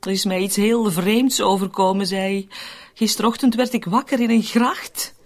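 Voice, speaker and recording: An actress with a Flemish accent playing a cool, sly, mocking accomplice in a radio drama, wry and teasing. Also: female voice, medium pitch, fairly even intonation, normal speed, natural voice. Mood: amused.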